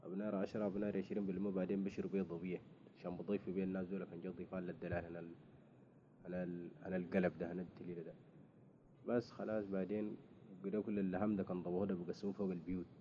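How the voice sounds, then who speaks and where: A man interviewing in a casual conversation, talking quickly with frequent restarts. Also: low voice. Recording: dull lo-fi recording with faint noise.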